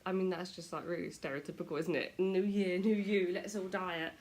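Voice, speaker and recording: British woman with a blocked-up nose, rambling and joking about herself to the camera, lowish voice. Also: steady tone, a little fast, darkish tone. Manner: chatty, self-deprecating. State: stuffy nose from a cold. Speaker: vlogger. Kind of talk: casual chat to camera.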